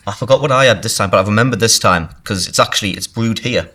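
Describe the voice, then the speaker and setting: A North East English man hosts a casual pub podcast, his talk digressive and stumbling. His voice sits a bit low. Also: fast talker, bright, forceful tone, a little hiss or hum. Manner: chatty and digressive, stumbling over words. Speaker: podcast host.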